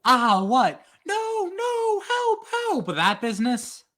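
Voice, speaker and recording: Give the part of a weary, sarcastic radio player to a man acting it out. The voice sits high and bright, with very animated swings. He grumbles in the manner of a 1940s radio play. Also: slow, heavily acted. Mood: confused, rehearsing. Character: Ed, tired grumbling male radio actor, sarcastic.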